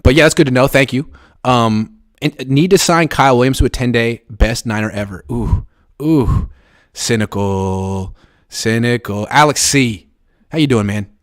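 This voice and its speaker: A young man hosting a sports call-in show, riffing in an animated, profane, jokey way and reading out chat messages. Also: mid-range voice, moderate pace. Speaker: host.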